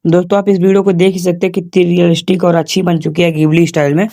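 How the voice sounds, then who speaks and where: A man with a fairly high voice guides viewers through steps as a tutorial host. His delivery is informal but very even in tone, with repeated instructional phrases. The voice-over sounds close and dry. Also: fast, dry recording. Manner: repetitive instructional phrasing, addresses viewers as friends. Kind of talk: informal step-by-step screen tutorial.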